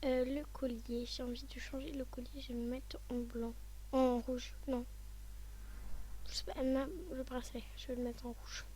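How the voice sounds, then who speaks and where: A hoarse woman talking softly and often half-whispering, thinking aloud with many hesitations as she walks viewers through styling an outfit. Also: high voice, level tone, moderate pace, a little hiss or hum. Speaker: vlogger showing game outfits. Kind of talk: casual screen-recording commentary.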